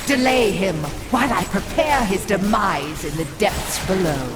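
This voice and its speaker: A woman's voice: mid-range voice, expressive, unhurried, music in the background, close to an everyday voice. A woman playing a mocking cult witch. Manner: mocking, theatrical. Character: cruel female cult leader witch, scheming.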